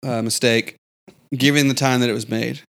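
A man's voice: mid-range voice, steady tone, moderate pace, creaky, a bit bright. Rambling self-mocking man. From United States. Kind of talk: casual comedy chat.

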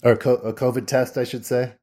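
A man's voice: medium pitch, little pitch movement, fairly quick, a little mellow. A man hosting a podcast, talking casually with frequent fillers and restarts. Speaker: podcast host. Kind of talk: casual interview chat.

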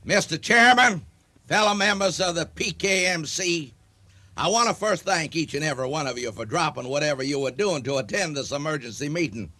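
An actor playing a bombastic, paranoid club leader who speechifies pompously and barks commands in a comic radio drama. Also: male voice, on the high side, lively intonation, fairly quick, sharp tone. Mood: pompous, indignant.

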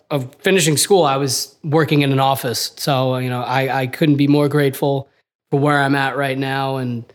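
Young male co-host, fresh graduate, easygoing and chatty, average pitch. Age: young adult. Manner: relaxed, many "you know" and "uh" fillers. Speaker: podcast co-host, junior architect. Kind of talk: casual podcast chat. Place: office.

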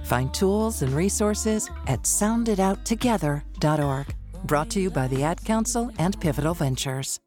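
Low-voiced woman ad announcer. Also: animated, normal speed, a little mellow. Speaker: ad narrator. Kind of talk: scripted public service ad narration. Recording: ad voice-over with faint music.

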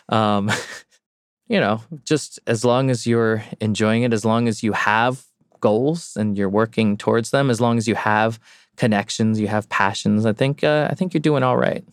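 A man's voice: medium pitch, smooth, dry recording, studio quality. A man who teaches and plays music, talking casually as a podcast guest in a reflective, encouraging way. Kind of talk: casual interview talk.

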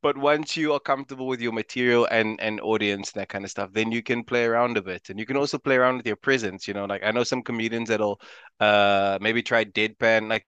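A man's voice: medium pitch, fairly bright tone, clean tone, dry recording. A man from South Africa with a South African accent, a stand-up comedian chatting casually and laughing often as an interview guest.

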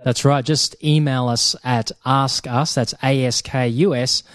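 A man co-hosting a podcast, speaking in a measured, hesitant way with fillers and repeated words. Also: medium pitch, fairly even intonation, no echo, clean studio sound. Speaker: podcast co-host. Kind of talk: casual podcast talk.

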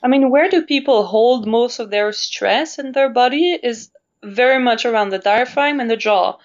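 A woman's voice: a little high, moderate pace. A woman from Canada, an opera singer interviewed as a guest, talks thoughtfully and searches for her words, with easy laughs. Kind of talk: reflective conversational interview. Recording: dull lo-fi sound.

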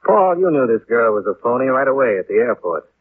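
Terse American private investigator, world-weary and deadpan, slightly husky, old narrow-band radio recording. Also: male voice, mid-range voice, brisk, no echo. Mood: probing, accusing. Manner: clipped, deadpan. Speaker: lead radio actor and narrator. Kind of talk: 1950s radio detective acting. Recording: muffled, narrow-band vintage 1950s radio.

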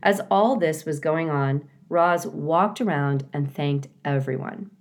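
A woman reading a children's book aloud as scripted narration, in a measured, expressive storytelling way. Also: low voice. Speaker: storybook reader.

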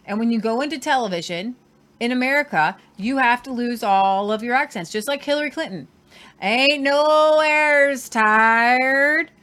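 A woman co-hosting a casual news chat, talking in lively bursts and repeating herself for emphasis. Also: on the high side, measured pace, smooth. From Southern Ohio. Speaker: co-host.

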